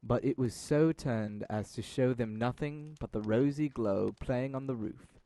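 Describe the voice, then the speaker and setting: A man's voice: mid-range voice, a little slow, warm tone, dry recording. A young man reading scripted dramatic narration of a classic tale, as narrator of an audio drama. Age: young adult.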